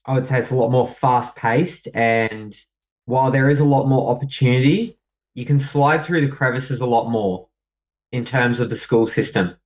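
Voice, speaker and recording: A young Australian man tells his own story as a guest, reflective and hesitant, with fillers and restarts. His voice sits at an ordinary middle pitch. He sounds a little away from the mic. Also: normal speed, far from the mic, rough recording. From New South Wales, Australia. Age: young adult. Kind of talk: personal story in conversation.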